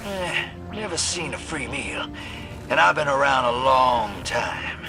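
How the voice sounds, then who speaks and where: A man's voice: medium pitch, lively intonation, slow. An actor playing a cocky, folksy, wisecracking rogue gunslinger in a video game, with a slangy, teasing showman's delivery. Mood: wry, cocky. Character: cocky, roguish gunslinging hustler, long-lived, folksy and wisecracking. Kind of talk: animated video game acting. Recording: music behind the voice.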